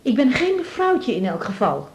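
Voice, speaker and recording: A young Dutch doctor in a naturalistic radio drama. Her voice is high, soft and husky. She is affectionate yet anxious, often searching for her words. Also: huge pitch swings, moderate pace, heavily acted. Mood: polite, subdued. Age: young adult.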